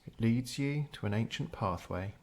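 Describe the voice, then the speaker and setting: A man narrating a scripted guided meditation in a measured, unhurried storytelling way. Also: mid-range voice, expressive, a little mellow.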